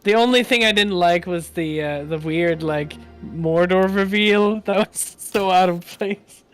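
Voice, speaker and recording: A man's voice: high voice, measured pace, fairly bright tone, smooth, soft background music. A man with a Swedish accent, a game developer guest on a casual podcast, who chats freely and laughs as he recounts things. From Sweden.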